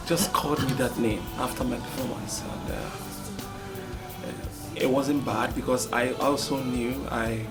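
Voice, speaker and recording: A man, a singing contest finalist, speaking earnestly on the radio about voice and breath control. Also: medium pitch. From Nigeria.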